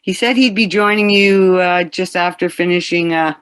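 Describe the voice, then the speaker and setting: A woman's voice: on the low side, moderate pace, clear, resonant tone, natural voice. A woman playing a polite, hesitant cruise hostess in a radio play. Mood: polite, awkward. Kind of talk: radio drama acting.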